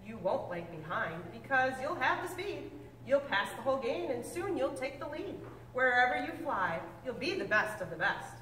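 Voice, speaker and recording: Expressive woman reading a storybook aloud. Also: moderate pace, a little hiss or hum. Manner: expressive storybook reading with big pitch swings; stumbles and corrects herself. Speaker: reader. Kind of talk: reading a picture book aloud. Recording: echoey room, mic at a distance.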